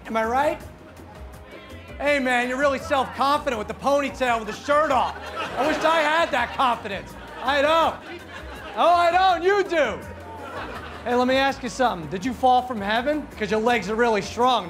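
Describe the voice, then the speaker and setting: Pushy high-voiced man shouting street compliments. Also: moderate pace, a crowd in the background. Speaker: comedy street prankster. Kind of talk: street prank, loud mock compliments. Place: busy city sidewalk outdoors. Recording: outdoor street noise with music and laughter.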